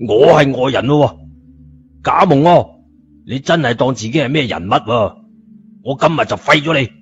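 A man's voice: medium pitch, animated, moderate pace, dull audio, pitched above the performer's own voice, close to an everyday voice. A man voicing a hot-headed, blunt and scornful younger brother in an audiobook, protective of his sister. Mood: scornful, angry. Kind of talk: audiobook character dialogue.

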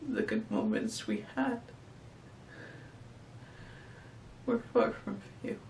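A heartbroken, abandoned friend acted by a man recites a bitter, wistful monologue at a very slow, mournful pace. The sound is echoey and a little distant. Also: high-pitched, steady tone, vocal fry, darkish tone. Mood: tearful pleading. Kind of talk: dramatic audition monologue. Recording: echoey room, distant mic, faint noise.

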